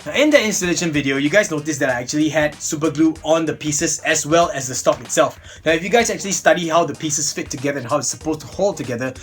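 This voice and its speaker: Young male vlogger, slightly high voice, chatty and upbeat, desk camera, faint music under. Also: quick pace, few pauses. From Singapore. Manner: chatty and upbeat, repeats "really, really". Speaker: host of a toy blaster review channel. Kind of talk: casual product review vlog. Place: small indoor room, seated at a desk.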